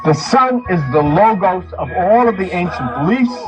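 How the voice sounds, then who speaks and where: A man's voice: high voice, lively intonation, normal speed, low-quality recording. A man giving an impassioned lecture on religion, speaking emphatically and repeating phrases for stress. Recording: speech mixed over music. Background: music.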